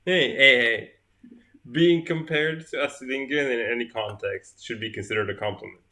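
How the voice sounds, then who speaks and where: A man in his thirties co-hosts a relaxed livestream, his voice bright and mid-pitched. He chimes in with teasing jokes, picked up by a webcam in a living room. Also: moderate pace. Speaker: co-host partner. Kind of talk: casual livestream chat.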